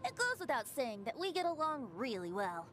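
A woman's voice: sits high, big pitch swings, moderate pace, fairly bright tone, exaggerated voice. A woman voicing a youthful, cheerful bard in a fantasy game, with a lilting, theatrical delivery. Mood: cheerful, airy. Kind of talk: scripted game character voice-over.

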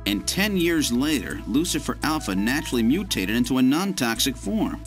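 An actor playing an earnest secret agent hero in a dramatic video game scene. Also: male voice, mid-range voice, sing-song, moderate pace, faint music behind, lightly acted. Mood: grim.

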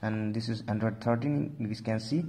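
A man's voice: fairly low pitch, normal speed, mellow. A man hosting an informal phone tutorial, talking through steps with repeated stock phrases. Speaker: tech tutorial host.

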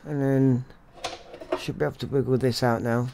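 A man's voice: medium pitch, steady tone, normal speed, creaky. Man musing through hands-on repair, faint noise. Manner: musing aloud while working. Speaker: repair technician. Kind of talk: casual hands-on repair commentary. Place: indoor electronics workshop.

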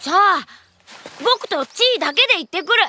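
A woman's voice: extremely high pitch, expressive, fairly quick, bright, forceful tone, a little hiss or hum, heavily acted. A woman voicing an eager, earnest little boy in a children's cartoon, with a childlike way of talking. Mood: earnest, pleading.

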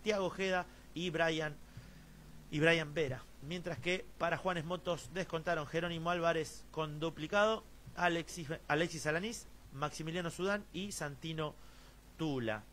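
A man's voice: fairly high pitch, normal speed, fairly bright tone, slight background noise. A man with an Argentine accent hosts a local futsal news stream, reading scores and scorers with frequent fillers and restarts. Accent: Argentine Spanish. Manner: many fillers and restarts, reads long lists of scorers. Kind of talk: sports news roundup, reading results.

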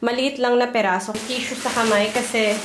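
A woman's voice: fairly high pitch, fairly even intonation, fairly quick, background noise. A Filipino-accented woman narrating a haul vlog in a casual, chatty way, listing items one by one. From Philippines. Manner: chatty, itemizing. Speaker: vlogger. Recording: loud background music. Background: music.

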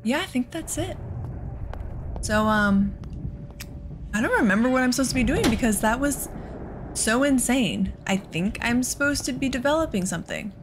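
A woman's voice: fairly high pitch, lively intonation, moderate pace, long pauses. Woman rambling through gameplay, noisy background. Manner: rambling, thinking aloud. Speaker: video game streamer. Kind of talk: casual let's play commentary.

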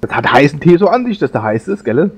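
A man's voice: on the high side, very animated, quick pace, slightly rough. A man co-playing a game who drops teasing, jokey remarks. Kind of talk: casual gameplay chat.

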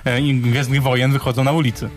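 A man's voice: medium pitch, little pitch movement, quick pace. A man co-hosting a radio show in casual banter. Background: music.

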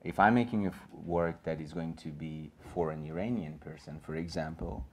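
A man's voice: very deep voice, steady tone, normal speed, a little hiss or hum. A man in his thirties with a Persian accent, speaking on a gallery panel in an earnest, rambling way full of fillers and restarts. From Iran. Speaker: panelist and filmmaker. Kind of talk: panel discussion. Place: small gallery room with an audience.

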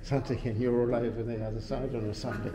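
A man's voice: medium pitch, flat delivery, fast talker. A man chatting casually as a visitor on a tour. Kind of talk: casual chat. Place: large cathedral library room, indoors. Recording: background chatter of other visitors.

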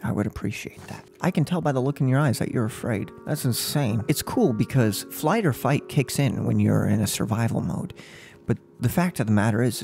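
A young man in his twenties playing a gloating, unhinged serial killer in an ASMR role-play, speaking close to the mic in a halting, mock-polite, menacing way. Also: on the low side, vocal fry, a little mellow. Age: 20s. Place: small furnished room at home. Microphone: close microphone on a boom arm.

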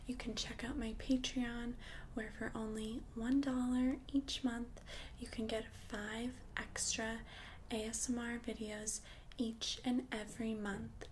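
Slow, whispering ASMR woman. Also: fairly high pitch. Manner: gentle, hushed, unhurried. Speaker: ASMR video creator. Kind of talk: soft-spoken whispered ASMR. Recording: clear background noise and ambience.

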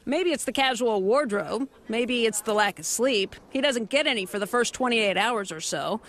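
A woman's voice: fairly high pitch, expressive, moderate pace, fairly bright tone. A woman reporter reading scripted news documentary narration in a polished, upbeat voice with a light wry edge. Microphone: studio voice-over. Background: music underneath.

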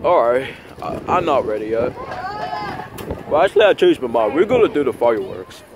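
A man improvising a plush toy skit outdoors, talking in a rambling, repetitive, halting way into a handheld phone. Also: mid-range voice, sing-song. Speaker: puppeteer of plush toys. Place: outdoors on grass at night. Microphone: handheld phone camera close by. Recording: noisy outdoor phone video recording.

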